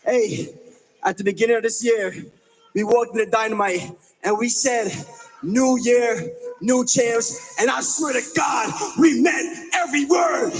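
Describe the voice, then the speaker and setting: A boastful wrestler shouts his promo to the crowd in a very high voice. His words ring through a long arena echo. Music sits faintly underneath. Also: unhurried, breathy edge. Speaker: pro wrestler cutting a promo. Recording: echoey arena hall.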